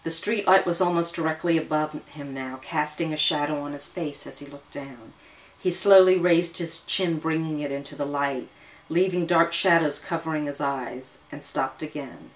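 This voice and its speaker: A woman's voice: low voice, normal speed, low-quality recording. A woman narrating a dramatic first-person story as a storyteller. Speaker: storyteller reading own serial story.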